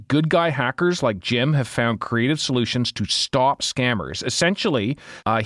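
A man tells an investigative story as a journalist in conversation. His voice sits at a middle pitch, with informal, emphatic phrasing. The sound is close and dry. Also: dry recording.

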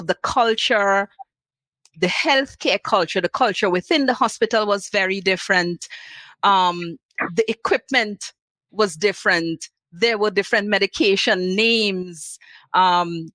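A woman's voice: mid-range voice, a bit bright, no echo. A woman from Trinidad with a Trinidadian accent, a nurse speaking earnestly on a panel and listing points with emphasis. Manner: earnest, emphatic, lists items. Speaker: international nurse guest. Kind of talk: panel talk.

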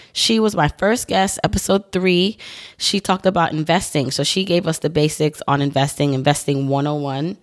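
A woman in her thirties hosting her own podcast, talking solo in a chatty, upbeat way with rambling asides, her voice still recovering after she lost it. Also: low voice. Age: 30s. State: recovering from voice loss. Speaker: podcast host.

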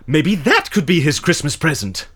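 A man playing an eccentric, witty and excitable fixer in an audio drama, with theatrical, wry delivery. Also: on the high side, measured pace, breathy edge, heavily acted. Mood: wry, negotiating.

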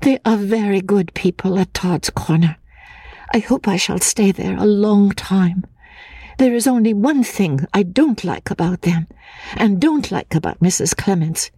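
A woman voicing a fragile, anxious, childlike young woman in a dramatic audiobook, soft and husky, hesitant and pleading. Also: medium pitch, a little slow, dry recording, voice pushed up. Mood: wistful, childlike. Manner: pleading, hesitant, naive, with repeated words.

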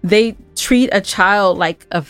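A woman's voice: medium pitch, takes it slowly, fairly bright tone, clean tone, dry recording. A woman co-hosting a podcast in casual conversation, speaking expressively and with emphasis. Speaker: podcast co-host and audio drama writer.

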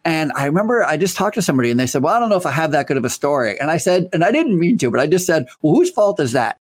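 A man's voice: a little high, big pitch swings, rapid-fire. A man hosting an interview podcast, talking with energy and stressing his points with repeated phrases. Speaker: podcast host. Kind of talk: conversational interview.

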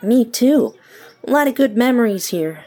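A woman's voice: a little high, animated, moderate pace, dark tone. Woman acting a guarded, sceptical young woman. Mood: weary, reserved. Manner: dry, curt, questioning. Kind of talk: audio drama acting.

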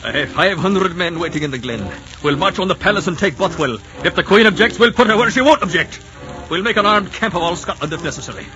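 An actor playing a belligerent, determined rebel leader rallying armed men, with urgent, forceful declamation in 1940s radio drama style. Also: male voice, sits high, animated, quick pace. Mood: fierce resolve. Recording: muffled 1940s radio recording, loud music.

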